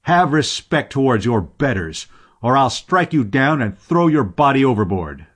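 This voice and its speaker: A man's voice: low voice, lively intonation, measured pace. A man voicing a tough, belligerent sailor with a blustering, challenging manner in an audiobook. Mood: furious. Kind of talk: audiobook character voice.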